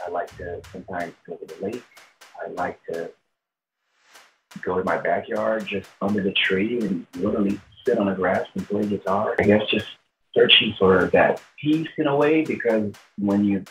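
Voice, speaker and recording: A man's voice: lowish voice, normal speed, fairly bright tone, slight background noise, low-quality recording. A man in his thirties from Brazil with a Brazilian accent, giving informal interview answers as a performer. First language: Portuguese. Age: 30s. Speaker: tribute show performer, interviewee.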